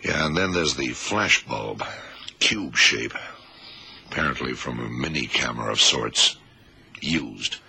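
An actor playing a cool, authoritative government agent in a radio drama, explaining things in a measured, commanding way. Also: male voice, a bass voice, animated, creaky, sharp tone, breathy edge, heavily acted. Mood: clinical, brisk. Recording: noisy old radio recording.

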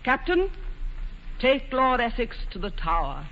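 An actress playing an ageing, imperious Queen of England, proud and bitter, with regal, deliberate delivery in a 1940s radio drama. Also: fairly high pitch, very animated. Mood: commanding. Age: older. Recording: dull noisy old radio recording, hall reverb.

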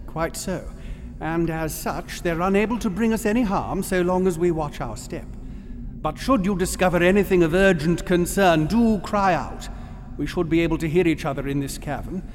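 A commanding, learned gentleman played by a man leads with formal, measured composure in a radio drama. His voice is rather high, in a long cave reverb with faint noise. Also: lightly acted. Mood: commanding calm. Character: titled male expedition leader, composed, learned, commanding.

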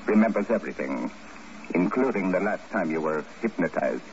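Casting: commanding clinical hypnotist, very low voice with wide intonation, vintage radio drama. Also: male voice, animated, moderate pace. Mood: insistent, commanding. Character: calm, authoritative doctor hypnotist probing a patient. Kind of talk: 1950s radio drama acting. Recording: dull, noisy old radio recording.

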